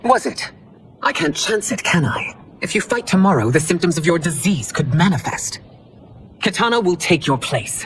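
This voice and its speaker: A woman's voice: fairly low pitch, sing-song, normal speed, slight background noise. A woman voicing a proud, commanding empress in a video game, speaking formally and scolding. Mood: cold. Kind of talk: video game cutscene acting. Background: game music.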